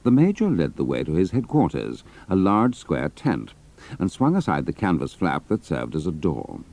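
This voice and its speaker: Deep-voiced male narrator. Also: wildly swooping pitch, normal speed, gravelly, darkish tone. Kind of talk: dramatised adventure story narration.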